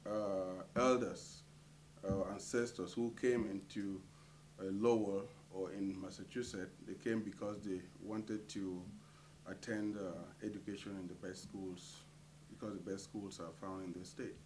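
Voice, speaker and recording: A middle-aged man from Cameroon, a community association treasurer, answering interview questions with many hesitant pauses. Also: low-pitched, fairly even intonation, a little mellow, a little hiss or hum, poor audio.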